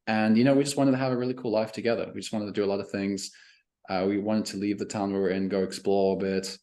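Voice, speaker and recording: Young man, mixed south african and canadian accent, lowish voice, laid-back guest. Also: quick pace. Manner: relaxed, laid-back storytelling with fillers. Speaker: guest, email marketing coach. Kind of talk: casual interview chat.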